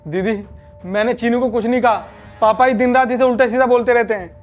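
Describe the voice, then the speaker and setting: Actor as meek young husband, very high voice, begging, melodramatic, background music. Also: male voice, fairly even intonation, fairly quick, dull audio. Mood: frightened pleading. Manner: pleading, blame-shifting. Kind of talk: melodramatic short-film acting.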